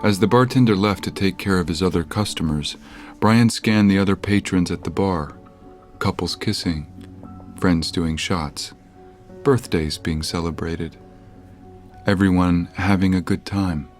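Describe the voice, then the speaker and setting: Man, deep voice, scripted story narration, audio drama, clear background music. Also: unhurried.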